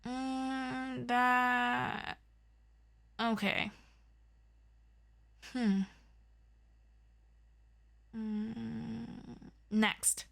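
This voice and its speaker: Unhurried, expressive superhero best friend played by a woman. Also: a little high, vocal fry, full character voice. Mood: uneasy, trying patience. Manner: chatty and expressive, with interjections and sighs. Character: earnest, excitable young superhero best friend, tutoring morals. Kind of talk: ASMR audio roleplay, scripted one-sided conversation.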